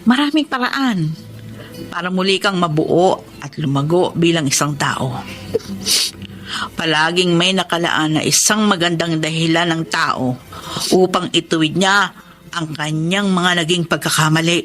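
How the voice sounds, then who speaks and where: A woman's voice: on the low side, measured pace, fairly bright tone, soft background music. A woman playing a principled, firm mother in a Filipino radio drama, speaking in a moralizing way. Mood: calm, forgiving. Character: principled, firm mother standing up for her family.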